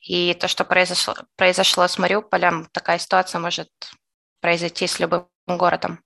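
Hesitant woman from Ukraine, soft-spoken. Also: fairly low pitch, completely flat delivery, creaky. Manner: hesitant, pausing often with fillers. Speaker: interviewee. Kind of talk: emotional interview testimony.

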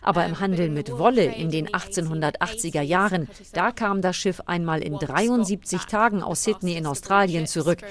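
A woman with a slightly low voice reads a scripted translation. She works as the voice-over in a radio report. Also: normal speed, hardly any pauses.

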